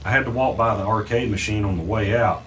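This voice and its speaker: A man playing an uneasy podcaster who narrates his own mystery in the first person, deliberate and halting. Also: on the low side, fairly even intonation, a bit bright, faint music behind, lightly acted. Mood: uneasy, brooding.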